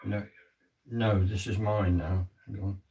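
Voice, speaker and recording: A man sitting in at a séance who manages the broadcast, answering in casual, halting replies. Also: on the low side, level tone, far from the mic, phone-quality sound, a crowd in the background. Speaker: sitter running the broadcast. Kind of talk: casual chat.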